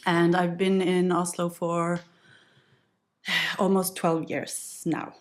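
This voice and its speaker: Norwegian woman guest, relaxed and reflective. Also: lowish voice, slow pace. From west coast of Norway. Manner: reflective, easygoing, with light laughs. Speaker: podcast guest. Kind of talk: casual conversational storytelling.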